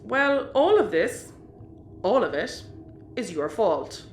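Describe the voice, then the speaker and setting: A woman playing a brash, sharp-tongued Irish spaceship crew member in a sci-fi audio comedy, bossy and blunt. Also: mid-range voice, sing-song, slow, roomy sound, a little hiss or hum. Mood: scolding.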